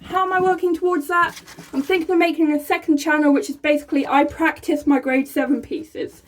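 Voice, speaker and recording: A young woman talks straight to her camera in a small room, her voice sitting high. She is chatty and excitable and laughs between thoughts, as in a casual vlog. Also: brisk. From England. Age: young adult. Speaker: vlogger. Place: small room by a rainy window, indoors.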